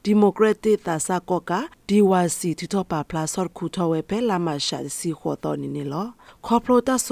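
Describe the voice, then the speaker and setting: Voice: mid-range voice, gravelly, a little mellow, no room sound. A radio presenter reading scripted news.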